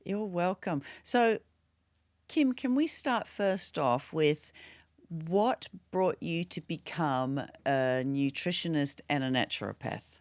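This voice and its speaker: A woman hosting a podcast, who welcomes her guest and asks questions in a warm way, sometimes restarting mid-question. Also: low-pitched, expressive, takes it slowly, dry recording, studio quality. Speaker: podcast host. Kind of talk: podcast interview.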